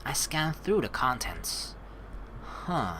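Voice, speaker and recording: A woman's voice: extremely low pitch, very animated, slow, fairly bright tone, a little hiss or hum, rough recording. A woman hosting a let's play, switching between reading game text aloud and casual, playful joking commentary. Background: game music.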